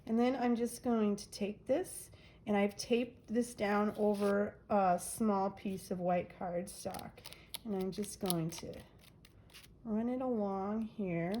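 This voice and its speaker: Brief: woman, nasal, unhurried crafting vlogger talking through each step, faint hiss behind. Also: mid-range voice, fairly even intonation, dark tone. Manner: chatty, narrates each step while working. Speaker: craft demonstrator. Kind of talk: casual crafting demo.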